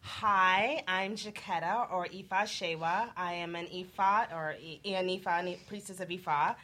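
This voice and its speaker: A woman speaking as a panel guest, introducing herself in a halting, self-correcting way. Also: on the low side, bright, forceful tone.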